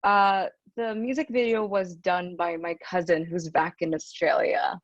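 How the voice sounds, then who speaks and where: Woman, deep low alto, soft and hesitant, dull dry video-call sound. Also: steady tone, measured pace, no room sound. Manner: soft-spoken, hesitant, many "um"s, laughs often. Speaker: singer, interview guest. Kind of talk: casual interview over video call.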